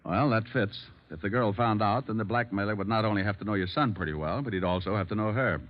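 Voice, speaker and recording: An actor playing a cool, wry private detective in an old radio drama, with an American accent and a dry, unhurried manner. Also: male voice, fairly low pitch, close to an everyday voice. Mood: calm, wry. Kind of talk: 1940s-50s radio detective acting. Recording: dull vintage radio recording.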